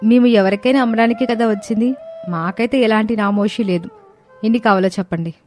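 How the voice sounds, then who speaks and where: A woman's voice: fairly high pitch, a little fast, a little mellow, faint music behind, natural voice. A woman voicing a poor but proud young daughter-in-law in a story, plain-spoken and earnest.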